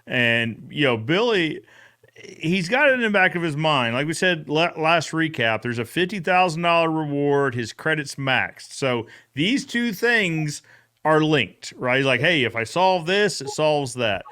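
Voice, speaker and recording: Male podcast host, slightly high voice, casual recap talk. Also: lively intonation, a little slow, pushed and bright, clean tone.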